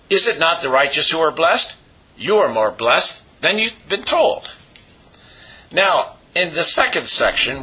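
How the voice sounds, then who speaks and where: A man with a raspy voice, hoarse from a cold, preaching a sermon as pastor with many pauses and fillers. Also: medium pitch, sharp tone, highs cut off.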